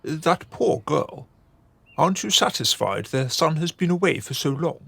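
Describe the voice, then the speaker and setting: A man's voice: fairly high pitch, lively intonation, measured pace, gravelly, fairly bright tone, faint music behind, exaggerated voice. A man playing a pitying townsperson who pleads for mercy in a radio drama. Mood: pleading.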